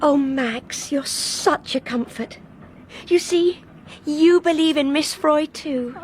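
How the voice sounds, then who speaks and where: A woman's voice: high-pitched, slow. An actress playing an anxious but stubborn young Englishwoman on a train, in a British radio drama. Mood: earnest, insistent. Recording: noisy train background ambience.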